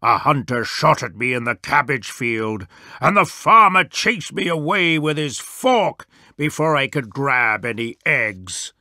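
Man voicing a weary, stern father wolf, sharp-edged voice. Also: mid-range voice, big pitch swings, measured pace, no echo, clean studio sound, full character voice. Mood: weary, grumbling. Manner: grumbling, scolding, emphatic. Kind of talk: children's storybook character voice.

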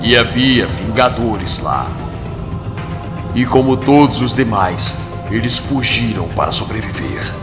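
A man's voice: medium pitch, lively intonation, slow pace. A Brazilian man delivering dramatic scripted narration as the narrator of a story. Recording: long reverb, music underneath. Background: music.

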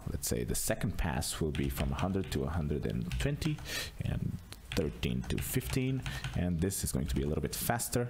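A man narrating an unscripted screencast tutorial as a software demonstrator, explaining casually step by step. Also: extremely low pitch, sing-song, moderate pace, hardly any pauses, background noise.

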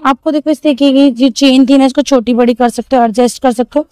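A woman's voice: high-pitched, steady tone, a little fast, dark tone, dry recording. A woman vlogging from an outdoor street market on a handheld phone, chattily repeating each price for her viewers. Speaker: shopping vlogger. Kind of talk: market walkthrough vlog.

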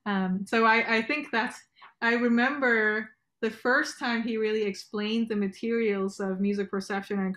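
A woman researcher speaks informally at a medium pitch. She is from Hong Kong and Canada and joins an academic chat by video call from a room at home. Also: a bit bright. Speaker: music researcher and professor.

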